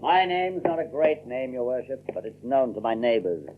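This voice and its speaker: A man's voice: mid-range voice, big pitch swings, normal speed. A man playing a sly, defiant colonial farmer in an audio drama, mockingly polite and theatrical. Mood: sly defiance. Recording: narrow-band, old radio sound.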